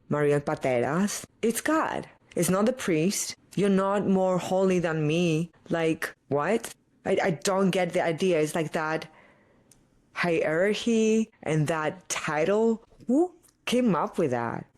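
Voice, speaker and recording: A podcast host from Greece, a native Greek speaker with a Greek accent, talking earnestly in a casual solo confessional, firing off rhetorical questions and filling pauses with "like". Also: medium pitch.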